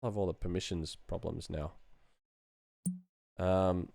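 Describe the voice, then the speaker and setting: A man's voice: sits low, steady tone, measured pace, gravelly, warm tone, no echo. A middle-aged man chatting casually as he works on a livestream, thinking aloud in a dry, self-deprecating way. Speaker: sound designer streaming his work. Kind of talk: casual livestream chat while working.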